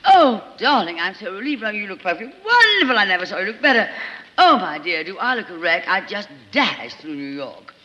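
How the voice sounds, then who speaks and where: A woman's voice: medium pitch, sing-song, brisk, pushed and bright, voice pushed up. A woman voicing a gushing, glamorous society actress with a breathless, drawling manner, in 1940s radio comedy acting. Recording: dull old radio recording, long reverb.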